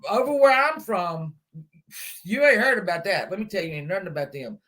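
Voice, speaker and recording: A woman's voice: medium pitch, lively intonation, fairly quick, fairly bright tone. A woman hosting a casual group livestream chat, effusive and affectionate yet blunt, with some swearing. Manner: affectionate, effusive, terms of endearment. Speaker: live stream host.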